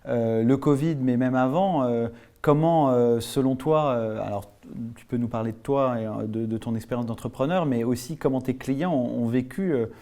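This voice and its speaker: A man with a dark, mellow voice, hosting a business panel in a studio. He speaks haltingly, with many fillers between his words. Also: mid-range voice. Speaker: host and founder. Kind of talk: panel discussion moderation.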